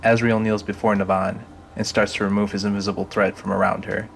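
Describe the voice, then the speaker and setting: Low-pitched male drama narrator. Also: little pitch movement, brisk, gravelly, slight background noise. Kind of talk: scripted audio drama narration.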